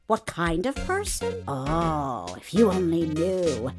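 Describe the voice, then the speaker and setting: A brash older security lady scolds and gossips in folksy, old-fashioned talk. Her pitch swings widely. Loud music plays behind this game dialogue. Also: wildly swooping pitch, takes it slowly, full character voice. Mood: wistful, gossipy. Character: brash, nagging older female studio security guard. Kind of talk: voiced dialogue for a video game fan dub.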